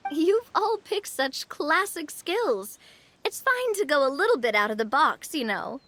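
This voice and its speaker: A woman's voice: high-pitched, very animated, measured pace, fairly bright tone, no echo, heavily acted. A woman playing a confident, playful young lady with a breezy, persuasive manner in an anime game. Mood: mischievous.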